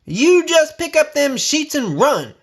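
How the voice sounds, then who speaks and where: A man voicing a big, fearless woman blues singer from a storybook, loud and defiant in folksy dialect. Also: very high-pitched, very animated, unhurried, pitched above the performer's own voice, heavily acted. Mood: defiant, angry. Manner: loud, folksy Southern dialect hollering and belting.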